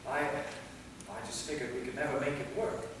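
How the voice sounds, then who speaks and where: An actor playing a flustered, lovestruck amateur thief in a staged play reading. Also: male voice, medium pitch, fairly even intonation, normal speed, fairly bright tone, natural voice. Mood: nervous confession. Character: Henry, flustered lovestruck man caught stealing his diary. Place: theater hall, mic at a distance. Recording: roomy hall from far off, noisy.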